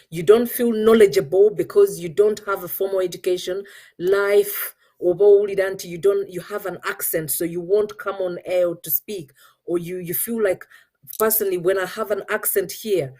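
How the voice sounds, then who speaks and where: Middle-aged Ugandan-accented woman host, mellow dark tone, earnest and coaching. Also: mid-range voice, moderate pace. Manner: earnest, mixes English with Luganda. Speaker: show host. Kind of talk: motivational talk.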